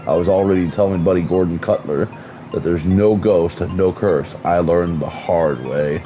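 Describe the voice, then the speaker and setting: A man reading aloud the lines of a wary townsman in a mystery game, in a slow, flat way. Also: low-pitched, faint music behind, natural voice. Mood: guarded. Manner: read aloud flatly, slow.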